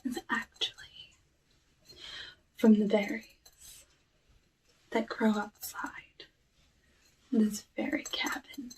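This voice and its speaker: A woman playing a lonely, courteous, slyly menacing forest witch in an ASMR roleplay, speaking softly and often whispering in formal, deliberate phrases. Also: on the high side, flat delivery, distant mic, low-quality recording. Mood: softly hospitable. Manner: hushed, slow, deliberate, formal old-fashioned phrasing.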